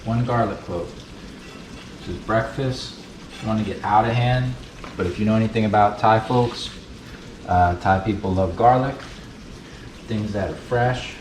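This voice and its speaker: A man from the United States hosting a relaxed, chatty cooking tutorial in his kitchen. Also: on the low side, a little hiss or hum. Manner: relaxed, chatty, fond of saying beautiful. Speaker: cooking channel host. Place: kitchen with food frying on the stove. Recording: roomy sound, mic set at a distance, sizzling pan.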